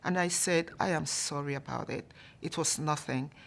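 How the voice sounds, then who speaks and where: A woman from Cameroon telling a personal story in a calm, measured way, often saying "you know". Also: deep voice, creaky. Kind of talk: storytelling to an audience.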